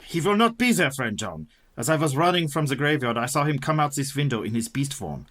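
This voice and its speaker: An actor playing a grave, kindly professor and vampire hunter in a radio play, speaking in formal, earnest, courtly phrases. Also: male voice, mid-range voice, big pitch swings, brisk, fairly bright tone. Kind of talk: radio play dramatic acting.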